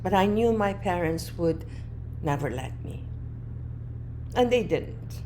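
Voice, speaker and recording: Woman host, non-native English speaker, unhurried personal monologue, faint ambient hiss behind. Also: on the low side, lively intonation. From moved to Canada as a child, not a native English speaker. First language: not English. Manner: reflective, earnest, with wide swings between emphatic and hushed phrases. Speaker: podcast host.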